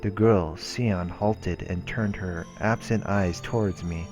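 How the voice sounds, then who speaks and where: A man hosting a let's play, reading visual novel narration aloud in a measured way. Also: low voice, fairly even intonation. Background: game background music.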